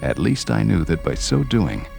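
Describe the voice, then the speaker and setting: Deep-voiced, earnest young religious visionary. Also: male voice, sing-song, vocal fry, over music, full character voice. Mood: defiant, fervent. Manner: solemn, deliberate, fervent, old-fashioned formal diction. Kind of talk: dramatized first-person narration, audio drama.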